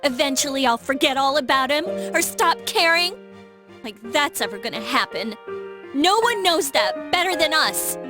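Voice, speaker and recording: A high, girlish voice of a grieving, stubborn schoolgirl in an anime game dub. She sounds emotionally raw, with loud music playing behind her. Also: lively intonation, normal speed. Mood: bitter, doubting.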